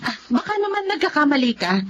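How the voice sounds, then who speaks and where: Protective wife, Filipino accent: fairly high, animated TV drama delivery, faint noise behind. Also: female voice, fast talker, muffled recording. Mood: puzzled, guarded. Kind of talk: Filipino television melodrama acting.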